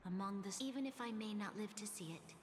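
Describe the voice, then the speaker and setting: Woman acting as earnest young leader, mid-pitched, formal, measured, solemn, long reverb, game dialogue. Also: a little hiss or hum, lightly acted. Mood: solemn resolve. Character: earnest, dignified young female leader of a struggling nation. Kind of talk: scripted video game voice acting.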